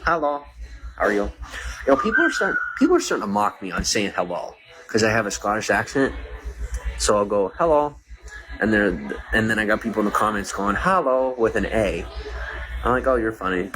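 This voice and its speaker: A man's voice: fairly low pitch, sing-song, brisk. A man chatting casually to a livestream audience in a rambling, filler-heavy way, recorded outdoors on a noisy street with passing traffic. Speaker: streamer.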